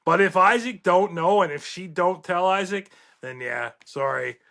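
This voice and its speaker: A man co-hosting a laid-back podcast with a high, gravelly and bright voice. He talks in a relaxed way, letting his voice drop low as each point winds down. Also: a little slow. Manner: relaxed, voice sinks low and trails off at the ends of points. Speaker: podcast co-host. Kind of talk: casual podcast chat.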